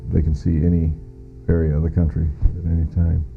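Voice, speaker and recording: Middle-aged American man, deep voice, creaky fry, matter-of-fact interview testimony, muffled lo-fi recording. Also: monotone, fairly quick, soft background music. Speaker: former air traffic controller giving witness testimony. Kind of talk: recounting first-hand testimony in an interview. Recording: muffled lo-fi audio.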